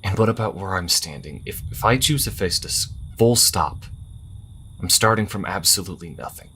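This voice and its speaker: A man's voice: deep voice, normal speed, sharp tone, a little hiss or hum. A man playing an earnest, conflicted young priest in a fantasy audio drama, hesitant and prone to stammering. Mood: troubled, torn. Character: earnest, conflicted twenty-two-year-old male priest.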